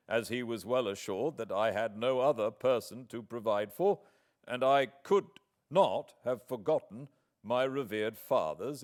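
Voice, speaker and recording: A British man reading a classic novel as a proud, wronged gentleman, with formal, measured, deliberate phrasing. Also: mid-range voice, lightly acted. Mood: indignant, resolute. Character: proud, wronged English gentleman writing a formal, earnest letter.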